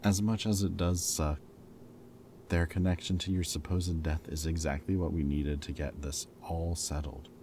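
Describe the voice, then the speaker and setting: A man playing a protective, teasing former villain in an audio roleplay, with a wry, casual, halting delivery. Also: low voice, little pitch movement, mellow, slight background noise. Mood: earnest, reassuring.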